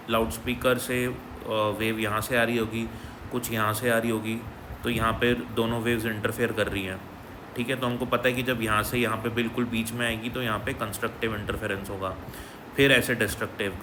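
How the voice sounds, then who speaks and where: A man's voice: medium pitch, noisy background. A male physics teacher working through an exam problem step by step in a patient, explanatory way, often checking in with his listeners. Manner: explanatory, repeats "ठीक है" as a check-in.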